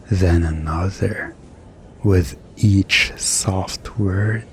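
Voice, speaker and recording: Male hypnotist, ASMR roleplay. Extremely unhurried, hushed and soothing, with a faint noise bed behind him. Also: lowish voice. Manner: soothing, hushed, drifting in short phrases with pauses. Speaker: hypnotist in a collaborative audio roleplay. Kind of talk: hypnosis ASMR, slow guided descent.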